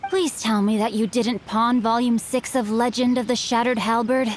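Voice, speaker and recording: A woman's voice: a little high, level tone, normal speed, a little hiss or hum, natural voice. A woman voicing a polite, bookish young boy in a video game, speaking in formal, flowery phrases. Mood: hopeful. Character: courteous, bookish young boy, eloquent martial-arts novel enthusiast. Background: music.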